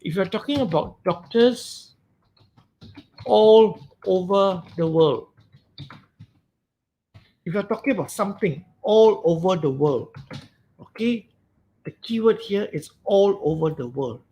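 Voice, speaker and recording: A man's voice: high voice, expressive, slow, long pauses, mellow. A man with a Malaysian English accent teaching a grammar webinar, stressing and repeating key words and often checking "okay?".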